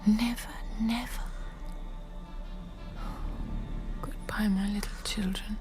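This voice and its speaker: A woman plays an anguished wife and mother in a radio drama, resigned and secretive. Her voice is breathy, moving from hushed to pleading, with music strong beneath it. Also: medium pitch, fairly even intonation, normal speed, close to an everyday voice. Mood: despairing. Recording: prominent music under the voice.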